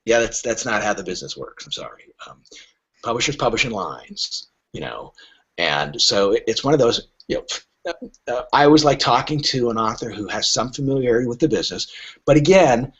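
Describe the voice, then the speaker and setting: A middle-aged or older man gives candid, emphatic interview answers, his pitch swinging widely as he acts out imagined exchanges. His voice is somewhat husky rather than crisp. The podcast recording is dull and lo-fi. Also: very animated, brisk, a bit bright. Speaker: literary agent interviewed as a podcast guest.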